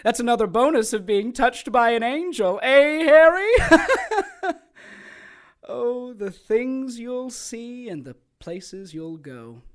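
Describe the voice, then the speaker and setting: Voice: mid-range voice, expressive, slow. A theatrical, gleefully sadistic mad sorcerer. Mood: dramatic storytelling. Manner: taunting, theatrical, gleeful cackling. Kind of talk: audio drama acting, storytelling monologue.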